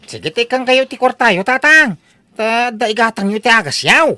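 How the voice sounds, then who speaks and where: A woman playing an excitable, doting grown child visiting elderly parents in a comedy audio drama, with an Ilocano accent. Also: fairly high pitch, normal speed, no echo. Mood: generous, excitable.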